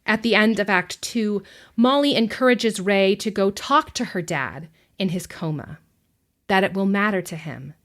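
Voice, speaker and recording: A woman narrating a scripted film essay, with measured, deliberate phrasing and emphatic pauses. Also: mid-range voice, a bit bright.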